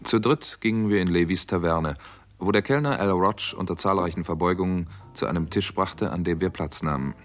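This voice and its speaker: A male actor as the doctor hero of a radio crime drama, with a very low voice. He speaks tersely and with control, as a sober detective-story narrator would. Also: brisk, soft background music, natural voice. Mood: sober narration.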